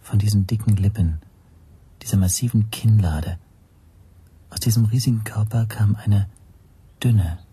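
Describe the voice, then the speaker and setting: An actor playing a watchful first-person narrator in a radio drama, with a breathy, husky voice. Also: male voice, on the low side, unhurried, long pauses, mellow. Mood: observant, tense.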